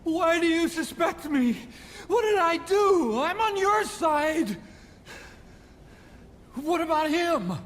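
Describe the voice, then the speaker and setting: An actor playing a frightened, indignant scientist in a video game, with an excitable, whiny, protesting manner. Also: male voice, very high-pitched, slow pace, long pauses, heavily acted. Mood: defensive, pleading. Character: frightened, indignant male scientist, pleading and defensive. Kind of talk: dramatic video game voice acting.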